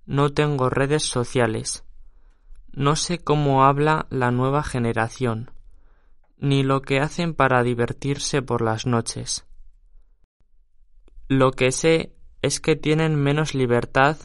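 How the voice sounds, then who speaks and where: A man reading scripted passages and interview answers aloud for a listening exercise, with a measured, even delivery. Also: medium pitch, clear, resonant tone, no echo, clean studio sound. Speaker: audio reader for a listening exercise.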